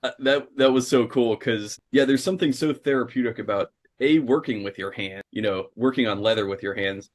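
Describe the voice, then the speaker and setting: A man hosting a casual interview, talking with enthusiasm at length, often pausing on uh. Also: medium pitch. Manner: enthusiastic, talkative, frequent uh fillers. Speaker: host.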